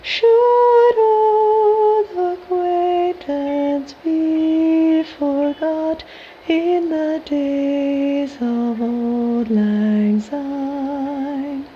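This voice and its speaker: Female singer: high, unhurried traditional song, soft-edged lo-fi sound with faint music. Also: steady tone. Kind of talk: slow sung traditional ballad.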